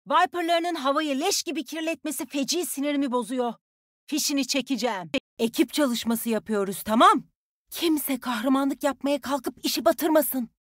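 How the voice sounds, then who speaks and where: A woman playing a tough, bossy young soldier who commands animals in a video game, with a brisk, commanding, teasing delivery. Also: sits high, fairly bright tone, no room sound, clean studio sound. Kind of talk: video game character voice lines.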